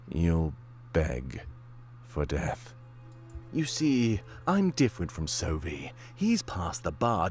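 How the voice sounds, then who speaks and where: An actor playing a menacing male beast interrogator in a fantasy game, smug and deliberately intimidating. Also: low-pitched, full character voice. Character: sinister, menacing anthro beast interrogator, male, smugly threatening.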